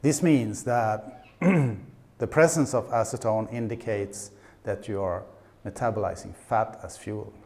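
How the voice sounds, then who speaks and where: A man's voice: fairly low pitch, slow pace, darkish tone. A middle-aged man from Sweden with a Swedish accent, giving a conference talk in a hesitant way, with frequent fillers, restarts and dry humor. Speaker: conference speaker. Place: conference stage.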